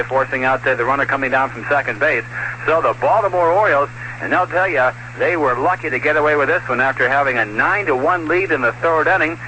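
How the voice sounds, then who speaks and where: A man's voice: on the high side, bright, forceful tone, poor audio. A man giving an off-the-cuff, conversational recap of a baseball game as a radio broadcaster. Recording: hissy 1970s radio broadcast.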